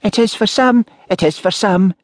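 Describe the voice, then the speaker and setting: A man's voice: high voice, moderate pace, dry recording, clean studio sound, voice pushed up, lightly acted. A man voicing an irritating, accusing village spinster who repeats herself, in an audiobook. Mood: reproachful. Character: irritating spinster villager, repetitive, reproachful woman. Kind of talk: audiobook character voice.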